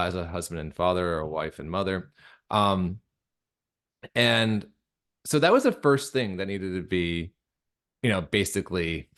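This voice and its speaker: Low-voiced man in casual interview talk. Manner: earnest, halting, conversational with frequent self-interruptions. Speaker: guest, audio drama creator and director.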